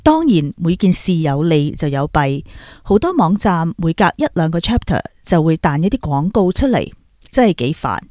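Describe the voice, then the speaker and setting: A woman's voice: sits low, big pitch swings, normal speed, warm tone, no echo, clean studio sound. A woman podcast host with a Hong Kong Cantonese accent, giving scripted spoken commentary.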